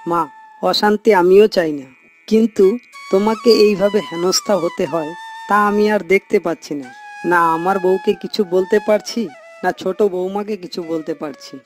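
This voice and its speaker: A man's voice: high voice, moderate pace, soft background music, lightly acted. A man voicing a dutiful, concerned grown son and husband in a cartoon, earnest and chiding. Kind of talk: cartoon moral story voice acting.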